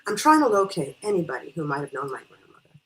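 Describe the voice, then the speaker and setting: A woman's voice: low voice, very animated, fast, fairly bright tone, rough recording. A woman voicing a polite, reticent male traveler with a plain, brief, courteous way of talking in a storybook reading. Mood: earnest.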